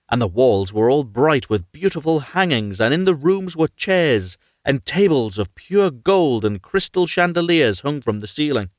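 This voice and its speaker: Male narrator, fairy tale read-aloud, lively intonation, fairly high pitch, dry close sound. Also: no echo. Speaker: storyteller, reader. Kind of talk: live unrehearsed fairy tale reading.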